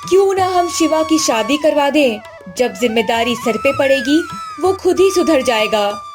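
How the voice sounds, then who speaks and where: Woman voicing a high-pitched, caring mother. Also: animated, measured pace, over music. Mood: worried. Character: worried, caring mother of two grown sons. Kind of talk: cartoon moral-story acting.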